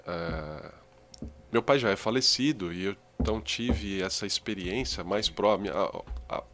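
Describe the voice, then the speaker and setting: A man's voice: on the low side, fairly bright tone. A man co-hosting a podcast, speaking reflectively and thinking aloud with some hesitation. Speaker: podcast co-host. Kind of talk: reflective conversation.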